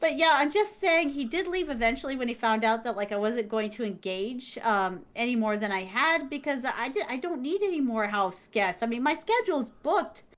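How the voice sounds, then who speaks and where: A woman guest chatting casually over a video call, animated and quick to laugh, acting out voices as she tells her stories. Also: on the high side. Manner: laughs often, fillers like "like". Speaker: interview guest, content creator. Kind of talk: casual chat, storytelling.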